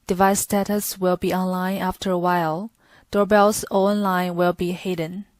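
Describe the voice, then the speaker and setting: A woman's voice: lowish voice, level tone, moderate pace, dry recording. A woman reading a scripted product tutorial in a stilted, step-by-step way. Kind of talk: scripted instructional narration. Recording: clearly noisy recording with clicks.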